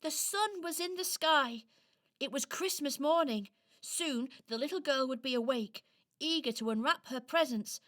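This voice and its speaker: A woman narrating a scripted children's radio play expressively, with dramatic pauses. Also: high voice, fairly bright tone.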